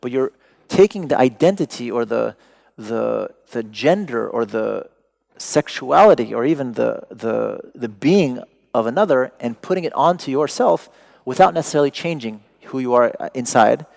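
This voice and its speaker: Man, gravelly, mid pitch, lecture, wry asides. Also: normal speed, a little mellow. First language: Persian. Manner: wry, digressive, joking asides. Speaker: artist giving a talk. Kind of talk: lecture to an audience.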